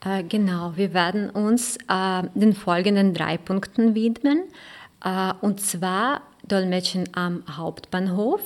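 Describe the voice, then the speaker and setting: A woman with a Ukrainian accent co-hosting a podcast, speaking in a measured way with filler sounds. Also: medium pitch. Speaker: podcast co-host, translation student. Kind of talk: semi-scripted podcast talk.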